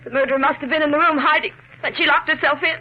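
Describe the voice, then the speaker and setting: An actress playing the anxious, doubting wife of a suspected killer in a 1950s radio thriller, earnest and melodramatic. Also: female voice, high voice, fairly even intonation, rapid-fire, close to an everyday voice. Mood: shaken, doubtful. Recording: muffled, phone-like 1950s radio, faint noise.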